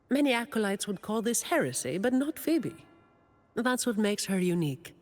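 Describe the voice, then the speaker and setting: Game role: weary young female office troubleshooter, lowish pitch, dry deadpan delivery, reverberant sound. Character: weary, dutiful young woman, overworked office employee and troubleshooter. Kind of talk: scripted game character monologue.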